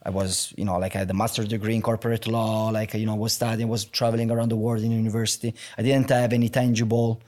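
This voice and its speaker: A man's voice: on the low side, flat delivery, darkish tone. A young man with an Italian accent, speaking as a guest in a candid, reflective conversation, often restarting his sentences. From Italy. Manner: halting, many restarts and "like" fillers, open and reflective.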